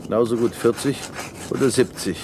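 An actor playing a wistful older deputy in a 1970s German science-fiction radio play, musing hesitantly with a touch of irony. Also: male voice, brisk, natural voice. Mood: wistful wonder. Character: wistful, wondering older male deputy with stalled career. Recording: noisy 1970s radio drama recording, rumble.

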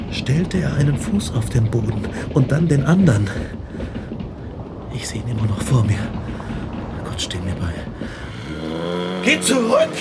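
Feverish scientist amid loud noise. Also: male voice, fairly high pitch, heavily acted. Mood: terrified pleading. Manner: feverish, swinging between whispers and shouts. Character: frantic male scientist horrified by his own creation. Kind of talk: radio drama monologue.

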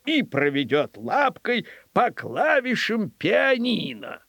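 A man's voice: high voice, huge pitch swings, slow, gravelly, a bit bright, breathy edge, dry recording, voice pushed up, heavily acted. A man voicing a jovial provincial governor in an audio story, hesitant, chuckling and easily amazed. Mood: amused. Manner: hemming and hawing, chuckling.

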